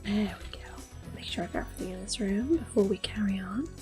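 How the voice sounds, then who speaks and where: A woman speaking in a soft, breathy near-whisper, giving gentle, unhurried ASMR commentary on a video game. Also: medium pitch, over music. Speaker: gameplay video host.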